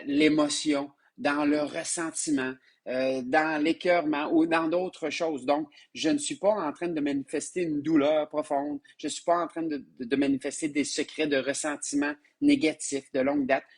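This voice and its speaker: Hoarse, husky Québécois man teaching. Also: on the high side. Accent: Québécois French. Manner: repeats words and restarts often, calls listeners "my loves". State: hoarse from a sinus infection. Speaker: spiritual teacher. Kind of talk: live-streamed spiritual lesson.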